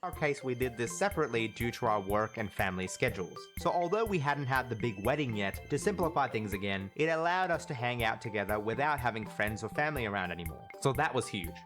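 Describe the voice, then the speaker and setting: Man, native Urdu speaker, animated story narration, earnest and self-mocking, lively voice, music underneath. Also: mid-range voice, fast talker, few pauses. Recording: voice-over with background music.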